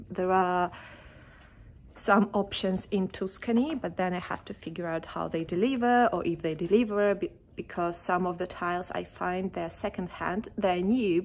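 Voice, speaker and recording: A young woman vlogging casually while walking down a quiet village street, chatty and often laughing, filmed on a phone held at arm's length. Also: fairly low pitch, fairly even intonation, a little slow. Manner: chatty, breaks into frequent laughs. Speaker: renovation vlogger.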